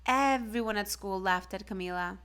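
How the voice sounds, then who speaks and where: A woman narrating a children's storybook aloud in an expressive read-aloud style. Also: mid-range voice, normal speed, clear, resonant tone. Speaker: storybook reader.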